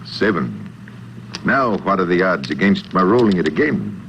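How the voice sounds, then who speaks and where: An actor playing a troubled, absent-minded professor in 1950s American radio drama, with a hesitant, formal, brooding manner. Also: male voice, sits low, sing-song, moderate pace, vocal fry, a bit bright, exaggerated voice. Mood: grave, recounting. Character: troubled, absent-minded male professor with a frightening mental power. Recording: muffled 1950s radio recording, light noise.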